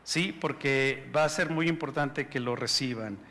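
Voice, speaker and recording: A man's voice: mid-range voice, unhurried, bright, forceful tone, a little hiss or hum. A man in his forties preaching at a pastors' conference in a conversational way, often repeating and restarting words and putting questions to the audience. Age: 40s. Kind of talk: conference sermon. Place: large church hall. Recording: reverberant hall.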